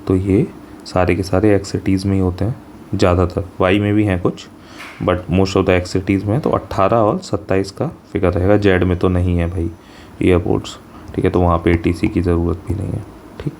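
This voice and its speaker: A man's voice: sits low, steady tone, normal speed, gravelly, darkish tone, slight background noise. An Indian man teaching in an informal explainer style, mixing Hindi and English and often adding short check-in tags. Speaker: exam coaching teacher. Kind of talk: informal explainer of a job notification.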